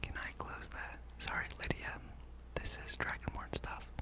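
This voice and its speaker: This is a gaming host speaking entirely in a whisper for ASMR. The delivery is a rambling, think-aloud commentary on gameplay, kept hushed throughout. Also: normal speed.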